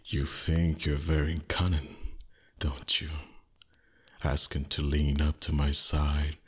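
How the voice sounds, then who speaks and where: A man voicing a haughty, teasing male dragon in intimate ASMR roleplay, husky and breathy, condescending with flustered pauses. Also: rumbling low, flat delivery, heavily acted. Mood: impatient, teasing.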